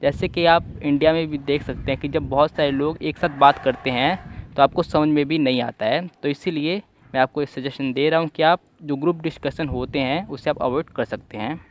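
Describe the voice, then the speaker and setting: A young man hosting an online English-listening lesson, giving repetitive advice in a steady explanatory voice-over. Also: a little high, a little fast, a little hiss or hum. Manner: repetitive, advising tone. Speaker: English-learning channel host.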